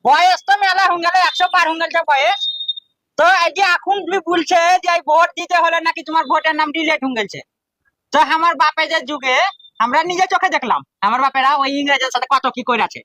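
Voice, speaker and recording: A man's voice: extremely high pitch, fast, sharp tone, no room sound, rough recording. A man playing a cranky, flustered very old village man in a comic skit, with a rural Birbhum Bengali accent and a grumbling, complaining manner. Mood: worried, confiding. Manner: grumbling, cursing, breathless complaining.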